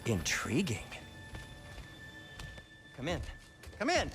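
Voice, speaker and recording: An actor playing a fussy, eager, fastidious dwarf craftsman in a video game, chatty and theatrical in manner. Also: on the high side, wildly swooping pitch, loud music behind the voice, full character voice. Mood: chatty, helpful. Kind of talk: video game character acting.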